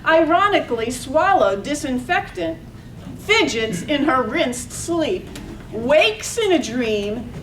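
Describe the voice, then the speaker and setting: A woman narrator giving a measured, phrase-by-phrase poetic reading of a staged radio play. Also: sits high. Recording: distant echoey room, faint noise.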